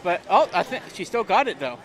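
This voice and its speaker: A man's voice: sits high, big pitch swings, brisk, some chatter behind, slight background noise. A man in his mid thirties, co-commentating a pinball league stream in an easygoing, laughing, chatty way. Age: 30s. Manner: easygoing, laughs often, nostalgic. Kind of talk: casual chat. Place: bar and arcade.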